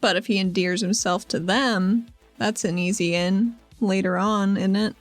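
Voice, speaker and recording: A woman's voice: mid-range voice, fairly even intonation, a little slow, no echo, faint music behind, natural voice. A woman playing a brash, casual, wry young female fighter in a tabletop roleplaying game. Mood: wry, persuasive.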